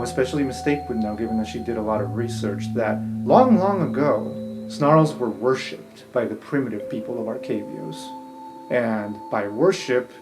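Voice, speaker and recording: Male game master, mid-range voice, descriptive and off-the-cuff, over plucked guitar music. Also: mid-range voice. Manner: descriptive, conversational, pausing mid-sentence. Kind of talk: improvised narration of a tabletop game.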